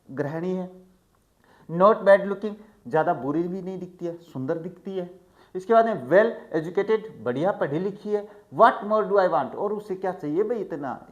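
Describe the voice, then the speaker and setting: A male teacher in a chatty lesson, who reads English lines slowly and then explains each one in Hindi. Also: a little high. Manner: chatty, addresses students as "bhai". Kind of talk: line-by-line textbook explanation.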